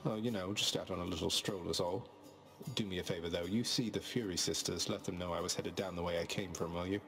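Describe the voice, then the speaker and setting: A man's voice: sits low, steady tone, fast talker, vocal fry, a bit bright, soft background music. A man playing a cocky, breezy young underworld prince in a video game, casual and sarcastic. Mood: breezy, wry.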